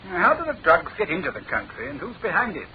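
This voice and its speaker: An actor playing a gruff, sceptical English chief inspector in a classic radio drama, talking in clipped, telegraphic phrases. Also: male voice, sits high, huge pitch swings, quick pace. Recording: tinny band-limited old radio recording.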